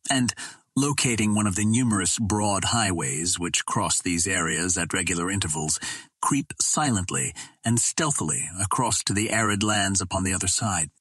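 Man, audiobook narrator, measured storytelling, husky voice, dry close recording. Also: sits low, fairly bright tone, no room sound.

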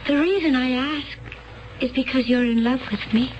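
A woman's voice: high-pitched, moderate pace, natural voice. A woman acting in a 1970s radio drama as a tense, earnest young woman who claims to come from another planet, intense, solemn and literal. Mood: calm resolve. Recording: muffled, noisy 1970s radio broadcast recording.

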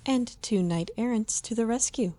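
A woman playing a gentle, principled young Victorian lady in an audio drama, earnest and genteel in manner. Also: a little high, moderate pace, natural voice. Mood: earnest, concerned.

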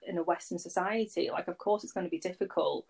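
A woman's voice: on the low side, fast talker, creaky, darkish tone, slightly rough. A woman, chatting as a podcast guest in a rambling, conversational way full of fillers. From Warrington, England. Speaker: podcast guest, agency founder. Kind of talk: casual conversational interview.

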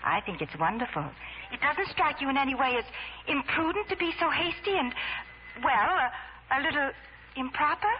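A high-pitched young woman in a 1940s radio drama. She is earnest, anxious and self-doubting, speaking with hesitant feeling. The old broadcast recording is muffled and noisy. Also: expressive, moderate pace. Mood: worried, disapproving. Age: young adult.

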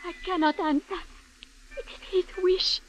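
A woman's voice: extremely high pitch, steady tone, unhurried. An actress playing an anxious, secretive young servant girl pleading in a 1940s radio drama. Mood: frightened pleading. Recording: muffled, noisy old radio recording.

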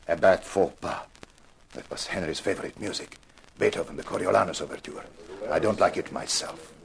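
A man's voice: low-pitched, brisk, vocal fry, a crowd in the background. An actor playing a haughty, acquisitive connoisseur in a 1950s radio mystery, pompous and scornful of his rivals. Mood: disapproving.

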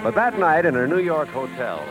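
An actor narrating a show-business life story in punchy, scripted 1950s radio drama style. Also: male voice, on the high side, big pitch swings, moderate pace, poor audio. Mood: brisk storytelling. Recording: old radio broadcast under loud music.

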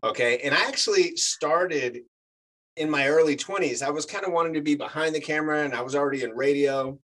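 Male guest, 40s, native Spanish speaker, lively storyteller, slightly higher voice. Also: fairly quick, low-quality recording. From United States. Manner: animated storyteller, many fillers. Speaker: actor guest. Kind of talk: casual chat.

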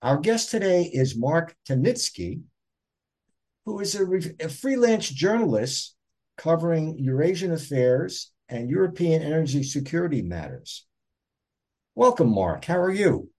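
Husky-voiced podcast host, lively delivery. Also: male voice, fairly high pitch, measured pace. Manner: formal, scripted introductions. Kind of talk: podcast interview.

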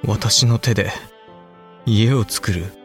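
A man's voice: on the low side, takes it slowly, soft background music. A male actor playing an earnest young samurai lord and new father, speaking formal, archaic Japanese in a historical audio drama. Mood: wistful.

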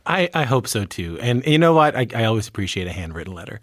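Animated Newfoundland man hosting an interview. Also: fairly low pitch, fast, no echo, studio quality. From Newfoundland, Canada. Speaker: radio interview host. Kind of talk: casual radio interview.

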